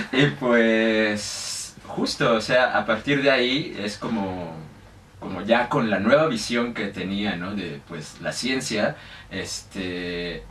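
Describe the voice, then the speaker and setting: Low-voiced Mexican man in an echoey room. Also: a bit bright. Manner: hesitant, many fillers and restarts. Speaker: guest. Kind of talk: casual interview talk. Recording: echoey room, mic at a distance, faint noise.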